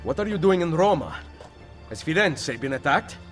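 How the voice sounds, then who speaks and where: An actor playing a commanding assassin leader in a video game, terse and firm, with an Italian accent. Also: male voice, fairly high pitch, lively intonation, moderate pace, soft background music, lightly acted. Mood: exasperated, stern. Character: commanding young-to-middle-aged male Italian assassin leader. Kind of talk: video game cutscene acting.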